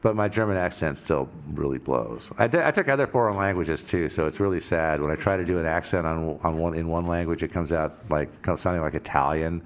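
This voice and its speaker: A man's voice: low voice, fairly quick, hardly any pauses. A man co-hosting a podcast live before an audience, rambling and self-deprecating and laughing often. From Oregon. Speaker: podcast co-host. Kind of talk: live panel chat with audience Q&A.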